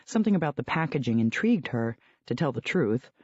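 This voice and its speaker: A man narrating a novel as an audiobook, in a steady read-aloud storytelling manner. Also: mid-range voice, fast, breathy edge, no echo. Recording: dull, lo-fi dry sound.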